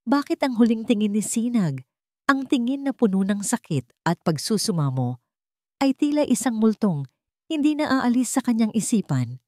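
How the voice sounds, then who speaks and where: A woman's voice: fairly high pitch, big pitch swings, moderate pace, dark tone, dry recording, clean studio sound. A woman with a Filipino accent narrating a scripted love story in a solemn, emotive, dramatic way.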